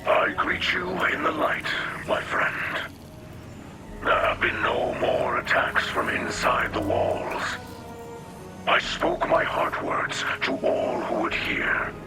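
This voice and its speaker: An actor playing a solemn, weary but hopeful alien leader in a video game, with a breathy, rasping whisper and formal, halting phrases. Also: male voice, mid-range voice, over music. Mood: grave, resolute. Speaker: leader and mentor. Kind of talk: video game cutscene acting.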